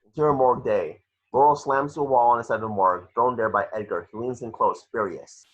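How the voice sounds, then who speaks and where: A man's voice: medium pitch, quick pace. A man narrating scripted action descriptions in an amateur table read, on a lo-fi home recording.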